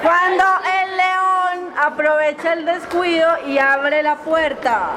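A woman's voice: very high voice, fairly even intonation, slow. A woman narrating an improvised children's story, prompting the others with questions. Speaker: narrator and workshop leader. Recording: very noisy room with loud chatter.

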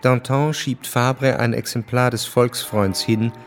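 A man's voice: mid-range voice, fairly even intonation, slow pace, faint music behind. A man giving a scripted narration for a historical radio drama.

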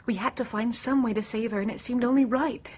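Anxious young suspect, higher-pitched. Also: female voice, steady tone, a little fast, darkish tone, breathy edge. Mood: distressed, earnest. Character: anxious, earnest young unmarried woman, a murder suspect. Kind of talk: radio drama acting.

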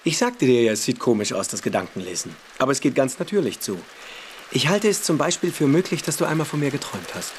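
A man playing a precocious older schoolboy in a radio play, calm and mysterious, deliberate and quietly superior as he persuades. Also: mid-range voice, natural voice. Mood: intriguing, persuasive. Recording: echoey room, faint noise.

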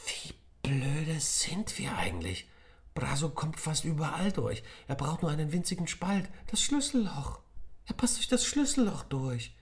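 A man's voice: on the high side, expressive, a little fast, a little mellow, pitched above the performer's own voice, close to an everyday voice. A man reading a storybook, putting on the voice of an anxious but determined schoolboy. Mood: upset. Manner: breathless and urgent, whispering at times.

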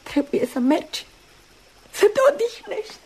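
An actress playing a dutiful, jealous woman in a radio drama, earnest and urgent, often hushed. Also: high-pitched, very animated. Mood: wounded, jealous. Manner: earnest, urgent, sometimes dropping to hushed tones. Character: dutiful, jealous woman nursing a rival, intense and wounded. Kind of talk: Romanian radio drama acting. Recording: noisy old radio drama recording.

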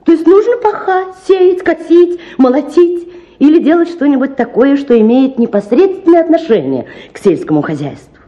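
A woman's voice: sits high, very animated, moderate pace, muffled recording. A woman playing a spirited, dreamy young lady idealist in a theatrical radio drama, effusive and self-assured. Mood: eager, assertive.

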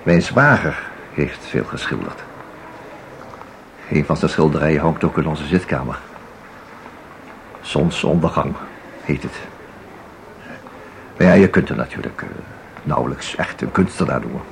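A man's voice: extremely low pitch, a little slow, gravelly, long pauses, heavily acted, poor audio. A man acting in a Dutch radio play as a chatty, flustered ordinary art lover who rambles hesitantly and repeats himself. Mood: chatty, eager. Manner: hesitant, rambling, full of repetitions and tag questions. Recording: audible background noise.

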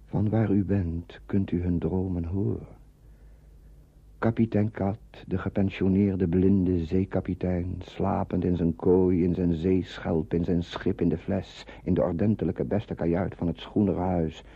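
A poetic radio drama narrator with a low, extremely husky voice. He intones slowly, hushed and incantatory, as if casting a spell over each phrase. The old radio recording is dull. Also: level tone, no room sound, full character voice. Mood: intense, incantatory. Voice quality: husky, gravelly. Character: hushed poetic male narrator of a sleeping town.

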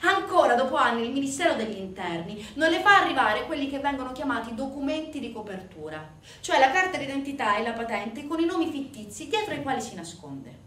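A woman delivers a theatrical narrative monologue in a fairly high voice, with intense emphasis that surges and falls away. She sounds set back from the microphone in a very roomy space, with a faint layer of noise. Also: big pitch swings, fairly quick, bright, forceful tone, recorded from a distance. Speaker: stage actress.

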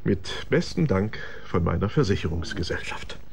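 Radio drama role: effusive, jittery male businessman, lowish voice, repeats himself, slight noise behind him. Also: moderate pace, creaky, heavily acted. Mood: reassuring. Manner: excitable, stammering, repeats himself. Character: fussy, nervous, effusive insurance businessman.

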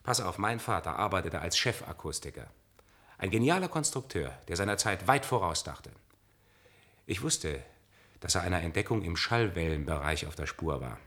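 A deep-voiced male singer, wounded and jealous, in a radio drama. He speaks theatrically, moving between sardonic bite and brooding thought. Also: big pitch swings, moderate pace, fairly bright tone. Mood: grave. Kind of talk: German radio play acting.

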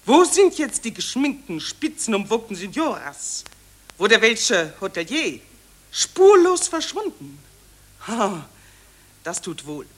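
A woman's voice: a little high, a bit bright, full character voice. An actress playing a gushing, self-important female war reporter in a satirical radio drama, declaiming in an affected, theatrical way.

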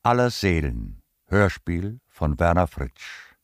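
Deep, slow male title announcer. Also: big pitch swings, no room sound, clean studio sound. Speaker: radio drama title announcer. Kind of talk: scripted title and credits announcement.

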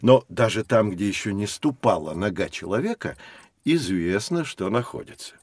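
An actor playing a kindly, enthusiastic professor, explaining things expressively to children in a scripted educational audio drama. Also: male voice, lowish voice, big pitch swings, normal speed, no echo. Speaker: professor, teacher.

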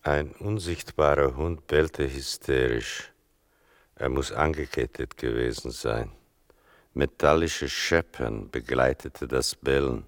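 An actor playing a grave son who narrates his mother's wartime story in a deliberate, restrained radio-play style. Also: a bass voice, no echo, studio quality, exaggerated voice. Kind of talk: slow, measured literary narration in a radio play.

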